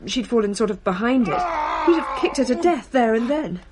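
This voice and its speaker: A woman's voice: fairly high pitch, expressive, brisk, heavy background noise, natural voice. An actress playing an exasperated, shaken daughter who recounts her family's haunting vividly and emphatically in a radio drama. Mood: shaken, urgent.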